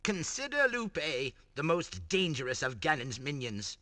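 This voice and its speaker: A man's voice: a little high, wildly swooping pitch, a little slow, pushed and bright, no echo, full character voice. A man voicing an eccentric old wizard guide in a game cutscene, speaking in grand, sing-song proclamations. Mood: solemn.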